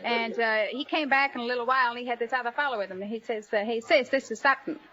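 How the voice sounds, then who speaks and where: A woman's voice: fairly high pitch, fast talker. A young American woman telling chatty, rambling anecdotes as a contestant on a radio quiz show. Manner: rambling, unpolished. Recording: dull 1950 radio recording, noisy, loud audience.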